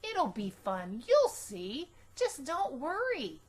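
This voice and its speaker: A woman voicing a patient, gently firm mother in a children's storybook, with a measured, reassuring way of talking. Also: high voice, wildly swooping pitch, pitched above the performer's own voice, full character voice.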